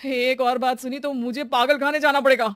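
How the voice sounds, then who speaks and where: A woman's voice: sits high, flat delivery, fairly quick, fairly bright tone, a little hiss or hum. A woman voicing an exasperated middle-aged man who is at his wits' end, in a cartoon story. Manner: flustered, pleading to be left alone.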